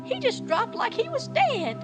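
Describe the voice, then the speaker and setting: An actress playing a frantic, concerned damsel in distress in an audio drama. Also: female voice, very high-pitched, big pitch swings, unhurried, music in the background, full character voice.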